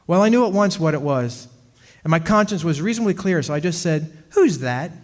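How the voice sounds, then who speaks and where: A man's voice: a little high, lively intonation, a little fast, lightly acted. A man playing a henpecked husband haunted by his witch wife, telling his story in a wry, aggrieved, hesitant radio-drama manner. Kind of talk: old-time radio drama, storytelling monologue. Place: stage performance in a club hall. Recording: hall reverb, music behind.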